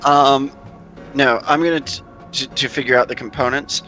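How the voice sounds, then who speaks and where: A man speaks as a player in a relaxed tabletop roleplaying session. He is hesitant and often repeats a word before finishing his thought. Faint music sits behind his voice. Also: medium pitch, normal speed, poor audio. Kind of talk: casual group roleplaying chat.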